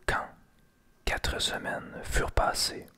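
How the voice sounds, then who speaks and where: A man reading a fairy tale aloud in a soft ASMR whisper. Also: slow pace. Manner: unvoiced whisper throughout. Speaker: storyteller.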